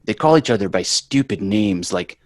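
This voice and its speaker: A man's voice: on the low side, expressive, normal speed, darkish tone, dry recording, studio quality, lightly acted. A man playing a bratty, exasperated young boy in a sitcom table read, brash and sarcastic. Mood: mocking, explaining.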